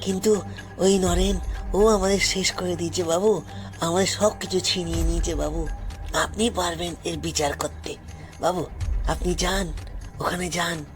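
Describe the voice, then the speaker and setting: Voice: medium pitch, fairly even intonation, measured pace, long pauses, soft background music, natural voice. A performer voicing a poor, wronged forest tribesman in an audio story, pleading anxiously and repeating phrases insistently, with deference. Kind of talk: dramatised audio story acting.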